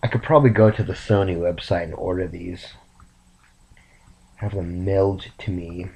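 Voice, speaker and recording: Raspy, half-whispering deep-voiced man. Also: fairly even intonation, lo-fi sound. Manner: slow, meandering, soft-spoken. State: sick. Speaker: vlogger. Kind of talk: rambling late-night monologue.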